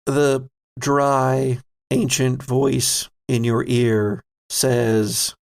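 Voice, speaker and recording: A man running a tabletop horror game as game master, narrating dramatically in deliberate short phrases with pauses. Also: medium pitch, flat delivery, vocal fry.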